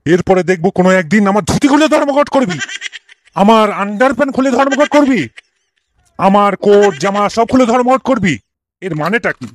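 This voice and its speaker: A man's voice: sits high, lively intonation, normal speed, no echo, clean studio sound. A man playing a cranky old schoolmaster in a comic cartoon, scolding and ranting with exasperated, theatrical rhetorical questions. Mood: indignant ranting. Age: older.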